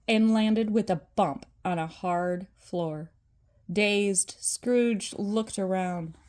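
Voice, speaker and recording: Older woman, grandmother storyteller, slow deliberate pace, medium pitch, children's storybook narration. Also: darkish tone. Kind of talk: storybook read-aloud narration.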